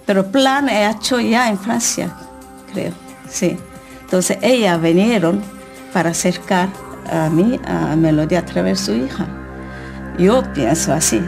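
A woman's voice: medium pitch, a little slow, vocal fry, over music. A middle-aged woman with a foreign accent, not a native Spanish speaker, explaining in simple, broken phrases. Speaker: interviewee.

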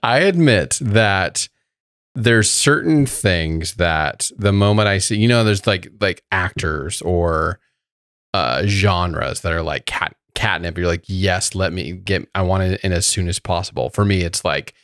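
A man's voice: low voice, a little slow, fairly bright tone, no room sound, clean studio sound. A man in his thirties chatting casually as a podcast co-host. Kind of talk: casual chat. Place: room at home, indoors. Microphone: podcast microphone with headphones.

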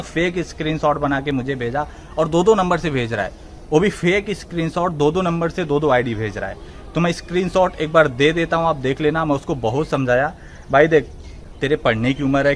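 Young man, slightly high voice. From India. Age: 20s. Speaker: gaming channel host. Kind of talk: casual vlog talk to camera. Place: outdoor open yard with trees. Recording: faint outdoor background noise.

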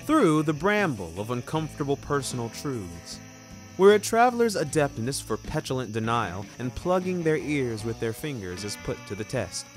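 A man's voice: mid-range voice, big pitch swings. A man narrating scripted fiction in a wordy, wry and theatrical way, taking his time over phrases. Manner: wordy, wry, deliberate storytelling. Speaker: narrator of an audio fiction series. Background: music.

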